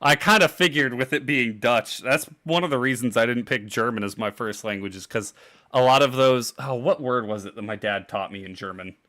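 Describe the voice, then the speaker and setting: A man chatting casually on a podcast with a bright, forward tone. He keeps a laid-back, easygoing delivery, laughing often and trailing off into restarts. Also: medium pitch, fairly quick. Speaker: podcast co-host. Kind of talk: casual chat.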